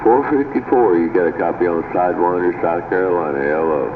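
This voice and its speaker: A man's voice: sits low, brisk. An American man chatting casually as a CB radio operator. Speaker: CB radio operator hosting a radio night stream. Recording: tinny band-limited CB radio, clear static.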